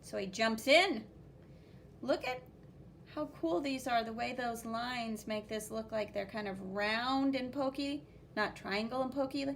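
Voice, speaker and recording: High-voiced woman reading animatedly to children. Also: measured pace, vocal fry, a little hiss or hum. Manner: expressive, exclaiming, with spoken sound effects. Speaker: art teacher reading a picture book aloud. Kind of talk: animated children's read-aloud with commentary.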